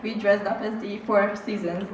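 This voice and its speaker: A woman's voice: medium pitch, fairly even intonation, moderate pace, recorded from a distance. A young woman from Hungary, a cosplayer on a convention panel, talking hesitantly with many giggles and ums. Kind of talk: casual panel chat. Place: convention stage in a large echoing hall. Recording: echoey hall, noisy crowd.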